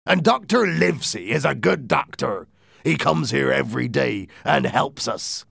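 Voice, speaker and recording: A man's voice: mid-range voice, lively intonation, a little slow, creaky, a bit bright, breathy edge, dry recording, studio quality, pitched above the performer's own voice, full character voice. A man voicing a wily, commanding pirate leader in a storybook reading. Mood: persuasive.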